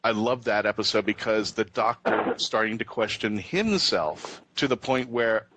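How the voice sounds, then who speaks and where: A man's voice: fairly low pitch, normal speed, fairly bright tone, a little hiss or hum. An American man interviewing as an effusive, eager fan on a radio show. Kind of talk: enthusiastic fan interview chat.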